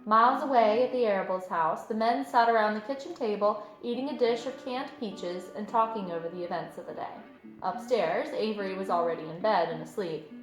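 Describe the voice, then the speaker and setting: A woman reading a children's book aloud in expressive storybook narration. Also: mid-range voice, brisk. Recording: echoey room, music behind.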